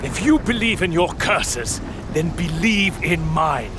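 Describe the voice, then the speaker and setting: An actor playing a proud, ruthless aristocratic lord in a gothic audio drama, speaking in an imperious, declamatory way. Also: male voice, fairly high pitch, sing-song, takes it slowly, noisy background. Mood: defiant, angry.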